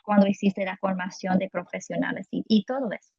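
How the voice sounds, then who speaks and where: A woman's voice: mid-range voice, level tone, brisk, vocal fry, darkish tone, breathy edge, no echo. Chatty woman interviewer on a dull line. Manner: friendly, with many fillers and restarts. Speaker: podcast co-host and interviewer. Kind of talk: casual interview chat.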